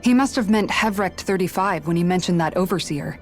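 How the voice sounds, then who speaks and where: An actress playing a serious, inquiring heroine in a video game. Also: female voice, on the low side, brisk, faint music behind, lightly acted. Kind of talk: video game cutscene acting.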